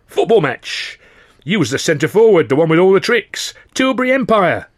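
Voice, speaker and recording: A man's voice: mid-range voice, very animated, fairly bright tone, no echo, clean studio sound, full character voice. A man doing a kindly giant dockworker. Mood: friendly. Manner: folksy, ungrammatical, slow. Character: huge, kindly male dock worker, friendly giant.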